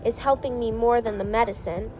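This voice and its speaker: A proud, warm girl who is easily amazed, played by a woman reading aloud. Her storybook voice is high, and the recording is slightly muffled with music behind it. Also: a little fast, slight background noise, close to an everyday voice. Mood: chatty, pleased. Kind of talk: storybook-style character voice in fan fiction reading.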